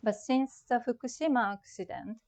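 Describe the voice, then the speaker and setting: A woman's voice: on the high side, moderate pace, a little mellow. A middle-aged woman from Japan with a Japanese accent, giving a prepared, reflective talk about her own art. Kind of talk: prepared artist talk presentation.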